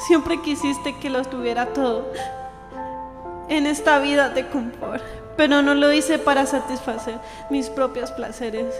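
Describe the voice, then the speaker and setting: A young sister in a radio play, acted by a woman, with a high voice. She pleads earnestly and plaintively, halting between phrases. The sound rings with long reverb over music. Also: fairly even intonation. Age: young adult. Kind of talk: amateur radio drama acting. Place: indoor green-screen studio. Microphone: handheld dynamic microphone shared close up. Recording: reverberant hall-like echo.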